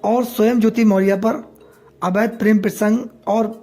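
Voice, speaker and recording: A man's voice: high voice, little pitch movement, moderate pace, dark tone. A man delivering opinionated news commentary to viewers, moralizing and posing rhetorical questions. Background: background music.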